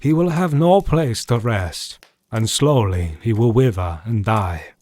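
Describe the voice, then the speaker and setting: A man playing a learned old doctor who hunts vampires in an audio drama, solemn, formal and measured. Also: on the low side, lively intonation, a little mellow, no room sound. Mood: grave.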